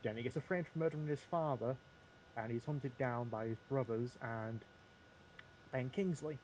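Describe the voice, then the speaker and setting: Halting man in a noisy recording. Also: medium pitch, darkish tone. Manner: halting, repeats and restarts phrases. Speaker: podcast panelist. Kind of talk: casual film discussion.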